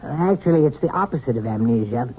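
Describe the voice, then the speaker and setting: An actor playing a calm, courteous hypnotherapist in an old radio drama. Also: male voice, medium pitch, slightly rough. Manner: measured, courteous, hesitant fillers. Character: calm, polite male hypnotherapist doctor. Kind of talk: 1970s radio drama acting. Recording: tinny old radio broadcast.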